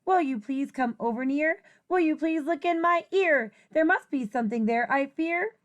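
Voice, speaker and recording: A woman teacher reading a children's rhyming picture book aloud in an expressive, deliberate, singsong way. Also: sits high.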